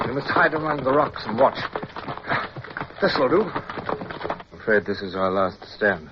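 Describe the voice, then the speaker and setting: A man's voice: mid-range voice, lively intonation, a little slow, close to an everyday voice. An actor playing a resolute British naval officer in a radio drama, who commands and narrates his own adventure. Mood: urgent. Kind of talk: radio drama acting and first-person narration. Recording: dull, noisy old radio recording.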